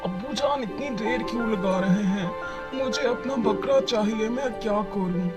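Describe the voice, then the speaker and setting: A performer voicing an eager, impatient young boy who pleads excitedly in a children's cartoon. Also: medium pitch, unhurried, close to an everyday voice. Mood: impatient, pleading. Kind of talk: Hindi children's cartoon dialogue. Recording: music under the voice.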